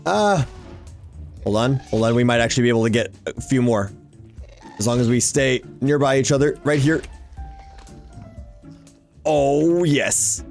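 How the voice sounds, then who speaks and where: A man's voice: medium pitch, unhurried, pauses often, a little mellow, soft background music. A man giving casual, exclamation-filled gameplay commentary, often talking to himself as he plays. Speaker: gaming YouTuber hosting a Minecraft series.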